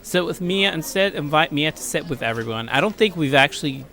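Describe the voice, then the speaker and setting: A man's voice: a little high, animated, fairly quick, sharp tone. A man giving casual, rambling let's play commentary with crude joking asides. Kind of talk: casual game commentary and read-aloud.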